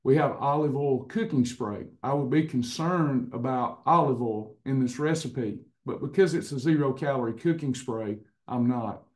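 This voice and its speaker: A hoarse man in his fifties hosting a casual online recipe and wellness class over a webcam, chatty, with frequent restarts. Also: mid-range voice, moderate pace, hardly any pauses, darkish tone. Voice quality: slightly hoarse, clears throat. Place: indoors in front of a printed backdrop. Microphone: webcam on a video call.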